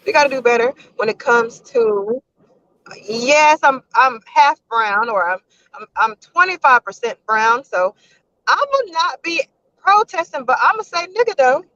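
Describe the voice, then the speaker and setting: Casting: woman co-host, higher pitch, halting and inquisitive, lo-fi audio. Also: lively intonation, sharp tone, dry recording. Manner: questioning, halting and searching for words. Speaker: podcast co-host. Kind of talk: casual discussion show. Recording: dull lo-fi sound.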